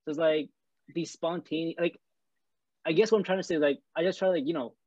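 A man's voice: a little high, fairly even intonation, fast talker, a little mellow, no room sound, dull audio. A man in his twenties chatting casually about student life, rambling with many fillers. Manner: rambling, heavy use of "like". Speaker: student podcast co-host. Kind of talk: casual chat.

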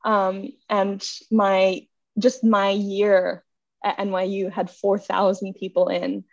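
A woman's voice: mid-range voice, level tone, takes it slowly, vocal fry, no room sound. A young woman from Bangladesh with an American accent, a podcast guest talking casually and laughing often. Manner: chatty, self-deprecating, laughs often. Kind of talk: casual chat. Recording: dull, dry sound.

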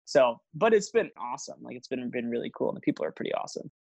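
A man's voice: mid-range voice, sing-song, racing, creaky, darkish tone, slightly rough, no room sound. Chatty young man on a dry mic. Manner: rambling storyteller, many fillers and restarts. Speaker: travel vlogger, guest. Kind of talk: casual interview chat.

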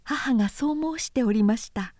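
A woman voicing a gentle, discreet young daughter of a samurai house, speaking softly and politely. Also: on the high side, normal speed, dry recording, clean studio sound, voice pushed up, close to an everyday voice. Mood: quiet, confiding. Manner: soft, hushed, polite. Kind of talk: storybook character reading.

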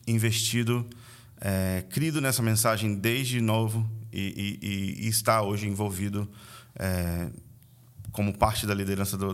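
A man in his late thirties from Vitória, Brazil, with an Espírito Santo accent, a podcast guest who tells his story in an earnest, reflective way. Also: on the low side. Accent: Brazilian Portuguese, Espírito Santo (capixaba). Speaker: guest, missionary and church leader. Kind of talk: conversational podcast interview.

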